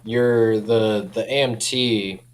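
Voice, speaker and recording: A man speaking as a company representative in a casual product chat, informal and hedging, quick to chuckle. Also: lowish voice, steady tone, smooth.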